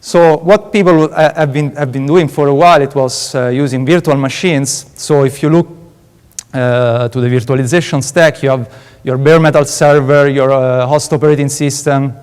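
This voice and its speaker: A man's voice: mid-range voice, steady tone, moderate pace, a little mellow, clean tone. A man in his late twenties with an Italian accent, a PhD student giving a conference talk, hesitant and full of filler sounds. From Italy. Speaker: PhD student presenting research. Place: large conference hall. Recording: reverberant conference hall.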